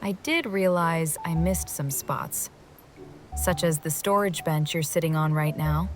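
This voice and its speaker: A woman's voice: low voice, a little slow, faint music behind. A woman reading a scripted first-person game story, moving between narration and dialogue. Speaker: voice-over reader of a game story.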